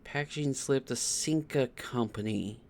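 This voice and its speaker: A man's voice: mid-range voice, a little slow, darkish tone, slight background noise. A man doing casual game stream commentary, thinking aloud and often repeating words as he puzzles things out.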